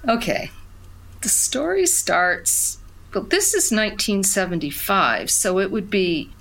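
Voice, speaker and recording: Slow, expressive reminiscing country woman. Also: mid-range voice, poor audio. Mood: wistful, reminiscing. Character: plainspoken country woman recalling her childhood, middle-aged. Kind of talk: reminiscing monologue in audio drama.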